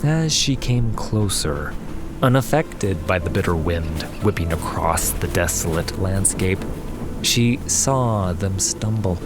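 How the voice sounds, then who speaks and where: Deep, slow male fantasy narrator. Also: expressive. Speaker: audiobook narrator. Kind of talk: dramatic scripted fantasy narration. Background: music.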